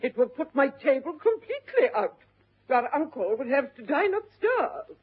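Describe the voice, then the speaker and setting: Haughty, swooping upper-class English dowager. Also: female voice, sits high, sing-song. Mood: imperious, indignant. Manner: haughty, deliberate, declamatory. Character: imperious upper-class older aunt, grand and domineering. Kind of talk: classic stage comedy radio acting. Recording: muffled vintage narrow-band radio recording.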